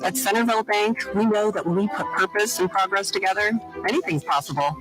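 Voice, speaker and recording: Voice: medium pitch, fast talker, poor audio. An announcer reading scripted radio advert narration. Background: music.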